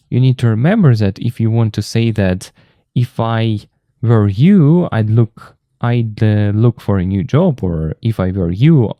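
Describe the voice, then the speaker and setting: A man with a Russian accent teaching English grammar from a textbook, explaining slowly and patiently and repeating the key terms. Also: mid-range voice, warm tone, no echo, studio quality.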